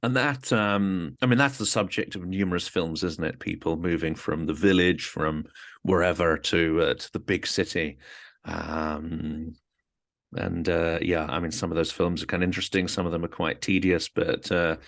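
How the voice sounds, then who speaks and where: A man hosting an interview in a relaxed, chatty way, often saying "you know". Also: low-pitched, normal speed. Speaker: host. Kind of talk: casual interview chat.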